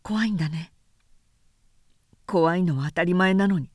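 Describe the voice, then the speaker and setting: Woman as a hushed, anxious grown man. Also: on the low side, moderate pace, no echo, clean studio sound, voice pushed down, close to an everyday voice. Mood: tender, worried. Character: grown man, anxious, tender friend of a child. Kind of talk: storybook character voice in a literary recitation.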